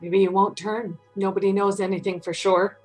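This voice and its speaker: A woman playing a caring, steady survivor in a dramatic table read over a video call. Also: medium pitch, moderate pace, natural voice. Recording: video call with faint music.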